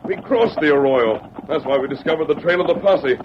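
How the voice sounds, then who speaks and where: A man playing a calm, resolute western hero in an old radio drama, with earnest, precise diction. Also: fairly high pitch, fairly quick, close to an everyday voice. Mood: alert, reasoning. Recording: muffled, noisy old radio recording.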